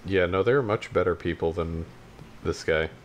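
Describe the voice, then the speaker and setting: Low-voiced man in casual podcast chat. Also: normal speed, a little hiss or hum. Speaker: podcast co-host.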